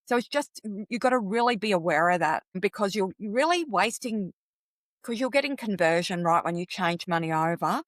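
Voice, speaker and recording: A woman's voice: medium pitch, animated, normal speed, dry recording, clean studio sound. A woman with an Australian accent, chatting as a podcast guest and giving practical advice in a conversational way. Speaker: podcast guest, travel coach.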